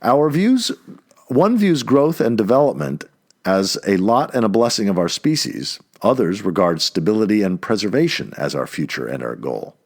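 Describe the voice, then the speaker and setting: Deep, husky male reader, lively pitch. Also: normal speed. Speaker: reader of an essay. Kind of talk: read-aloud essay narration.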